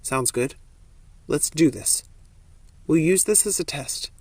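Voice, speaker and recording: A woman's voice: low voice, lively intonation, takes it slowly, a little mellow, slightly rough, dry recording, pitched below the performer's own voice, full character voice. A woman voicing a cautious, practical young man in an audiobook story. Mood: cautious, businesslike.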